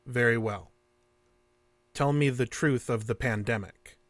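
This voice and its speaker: An actor playing a formal, coolly persistent alien interrogator in an audio drama, speaking in stilted, precise phrases. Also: male voice, medium pitch, unhurried, a bit bright, dry recording, close to an everyday voice. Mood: cool, probing. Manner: stilted, precise, avoids contractions.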